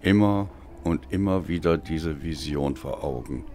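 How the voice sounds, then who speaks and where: A man reading a dark story as a dramatic narrator, in a brooding, deliberate and theatrical manner. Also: extremely low pitch, a little hiss or hum. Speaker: audiobook narrator.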